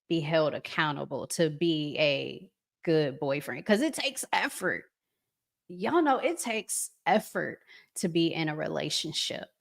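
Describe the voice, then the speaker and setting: A woman hosts a casual, conversational commentary show. Her voice sits a little lower than most women's, and she speaks quite slowly. She thinks out loud and puts rhetorical questions to the people listening. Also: gravelly. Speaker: host reading and answering viewer comments.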